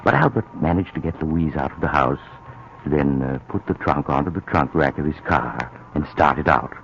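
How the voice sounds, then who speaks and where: An actor playing the sardonic host narrator of a 1940s radio mystery, telling the story with dry irony. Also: male voice, very deep voice, expressive, normal speed, a little hiss or hum. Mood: sly. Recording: muffled old radio broadcast.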